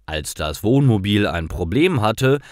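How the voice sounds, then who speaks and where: A man's voice: fairly low pitch, sing-song, measured pace, no echo, clean studio sound. A man narrating simple scripted stories for language learners, carefully, in short sentences.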